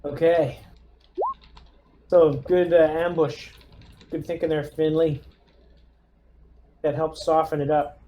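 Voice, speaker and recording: A player in a tabletop game speaks slowly at a middling pitch. The talk is casual, mixing game moves with orders in character. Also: level tone, mellow, lo-fi sound, a little hiss or hum.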